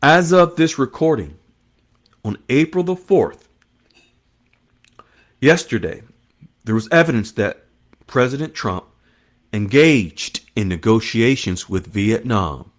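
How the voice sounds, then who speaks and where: A man's voice: mid-range voice, animated, slow, long pauses. A man hosting an online finance news monologue, speaking in short emphatic phrases and repeating key terms as he explains them to followers. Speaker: host of an online currency news channel.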